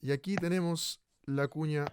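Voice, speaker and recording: A man narrating a radio documentary in a measured, questioning way. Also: fairly high pitch, steady tone, slight background noise.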